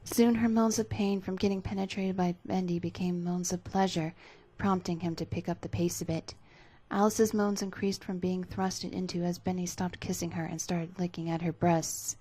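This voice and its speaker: Narratrice dalla voce bassa e velata. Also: female voice, flat delivery, moderate pace, warm tone, poor audio. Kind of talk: dramatic scripted fan fiction narration.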